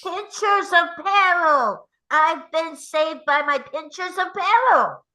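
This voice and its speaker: Excitable kid inventor, played by a woman, very high bright voice, drawn-out calls. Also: heavily acted, poor audio. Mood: excited shouting. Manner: drawn-out, calling out. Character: excited young boy inventor shouting from a pit. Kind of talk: table read of a film script.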